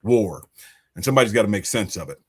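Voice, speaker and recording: A man's voice: lowish voice, expressive, fast talker, husky. A man from Alabama hosting a political podcast, talking in an emphatic, conversational way. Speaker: podcast host.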